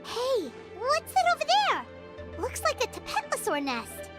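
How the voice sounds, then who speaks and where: A woman voicing a small, chatty, excitable floating companion who refers to herself in the third person. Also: extremely high pitch, a little slow, exaggerated voice. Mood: curious. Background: game music.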